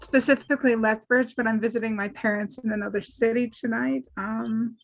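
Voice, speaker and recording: A woman's voice: on the high side, fairly even intonation, brisk, gravelly, poor audio. A woman from Southern Alberta, an actor and writer, chatting in a friendly, slightly scattered way over a video call. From Southern Alberta, Canada. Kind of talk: casual chat on a video call.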